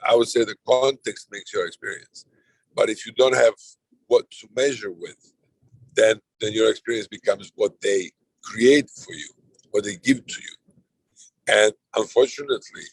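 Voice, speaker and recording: A man's voice: on the low side, a little mellow, slightly rough, no room sound, low-quality recording. A middle-aged man with a Serbian accent, chairing an online roundtable in a loose, rambling way with frequent restarts. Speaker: conference chair. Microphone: video call.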